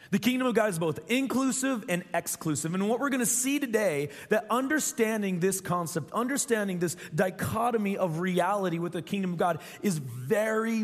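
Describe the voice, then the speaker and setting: A young adult man preaching a sermon as pastor, his voice scratchy and strained, talking earnestly and repeating phrases for emphasis. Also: high-pitched. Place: large church hall. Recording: long reverb from a church hall.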